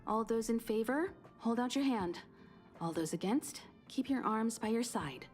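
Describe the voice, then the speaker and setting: An authoritative woman leading a crew in an anime-style game. She speaks slowly and with poise, formal in her wording, over faint music. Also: on the high side, expressive, darkish tone. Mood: composed, businesslike.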